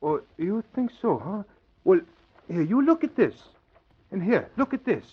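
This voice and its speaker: A man's voice: high voice, very animated, unhurried, slightly rough, full character voice. A man playing a stubborn, cocky island crook in clipped broken English, in 1940s radio drama style. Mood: sly. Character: tough, stubborn male Pacific island crook, cocky and unruffled. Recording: dull old 1940s radio recording.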